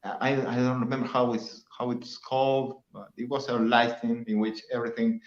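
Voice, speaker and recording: A man's voice: mid-range voice, little pitch movement, normal speed, roomy sound, dull audio. A man with an Argentine Spanish accent, a native Spanish speaker, chatting casually as a guest on a livestream and telling stories loosely with some swearing.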